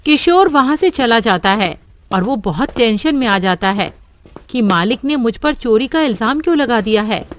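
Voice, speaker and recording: A woman's voice: fairly high pitch, normal speed. Woman narrating a cartoon story. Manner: steady, simple storybook telling.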